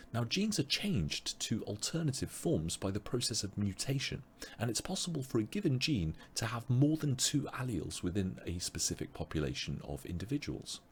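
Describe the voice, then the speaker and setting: A man teaching an online biology revision webinar, explaining step by step with frequent pauses, his voice a little strained as he loses it. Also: lowish voice, slight background noise. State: losing his voice. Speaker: biology tutor.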